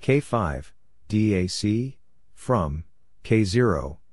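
A man with a lowish voice narrates scripted practice material in short, clipped phrases. His delivery is very slow and measured. The sound is dry, with no room echo. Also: expressive, darkish tone. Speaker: Morse code practice narrator. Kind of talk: scripted read-out of radio practice messages, phrase by phrase.

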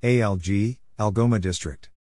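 A man reading short scripted items in a plain, deliberate announcing manner, spelling out letters and naming places. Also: lowish voice, warm tone, a little hiss or hum. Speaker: Morse code practice narrator.

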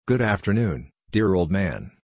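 A man with a low voice serves as narrator for a practice drill. He reads brief scripted phrases in a plain manner, each one standing on its own. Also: very animated, measured pace, noisy background.

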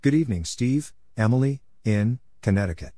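A man's voice: medium pitch, expressive, a little fast, mellow, slight background noise. A man announcing Morse code practice, reading letters, numbers and short phrases in clipped, separated words.

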